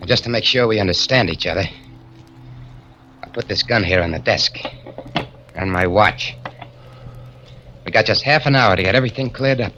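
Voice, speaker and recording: A man's voice: on the low side, moderate pace, long pauses, background noise, natural voice. A man playing a streetwise tough convict. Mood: menacing. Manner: streetwise tough-guy talk, ungrammatical slang. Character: tough male convict on the run, hard-bitten. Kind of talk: 1940s radio acting. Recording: dull lo-fi old radio recording.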